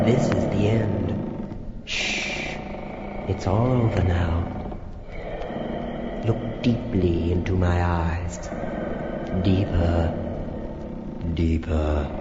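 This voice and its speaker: Gloating vampire count villain, hammy prankish menace, lively swooping delivery, muffled noisy vintage horror record. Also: male voice, sits low, full character voice. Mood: hushed hypnotic menace. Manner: hammy, drawn-out, alliterative, gleefully sinister delivery. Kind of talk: campy horror comedy audio record. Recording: muffled, echoey, noisy old flexi-disc record.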